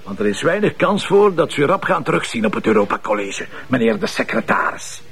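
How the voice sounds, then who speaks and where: An actor playing a gruff, driven police commissioner in a TV crime drama, with a Flemish accent and a blunt, sardonic manner. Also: male voice, fairly high pitch, sing-song, fairly quick, fairly bright tone, exaggerated voice. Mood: sardonic, threatening. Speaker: lead detective in a TV crime series. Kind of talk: naturalistic TV crime drama acting.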